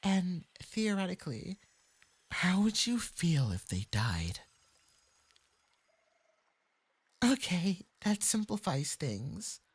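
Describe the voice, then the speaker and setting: A performer with a light, breathy voice playing a clingy, lovestruck fox-spirit femboy mage in an intimate ASMR roleplay, coaxing sweetly and possessively. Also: medium pitch, takes it slowly, exaggerated voice. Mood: sweetly probing. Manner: sweetly coaxing, possessive, swinging into eager excitement.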